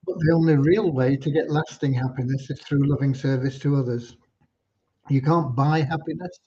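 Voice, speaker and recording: A man from Cornwall hosting an informal livestream, talking in an unhurried, earnest, slightly preachy way. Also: medium pitch, fairly even intonation, mellow, slight background noise. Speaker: livestream host and community leader. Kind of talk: informal livestream updates with devotional talk. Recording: dull lo-fi livestream audio.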